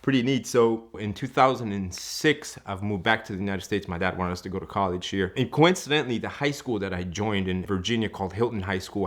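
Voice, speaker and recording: A man in his thirties with a fairly low voice, recounting his own story as a guest on a show. He talks with eager energy, leaning on "you know" and "like" and restarting his phrases as he goes. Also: fairly bright tone. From Ecuador. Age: 30s. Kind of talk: telling his life story to a host.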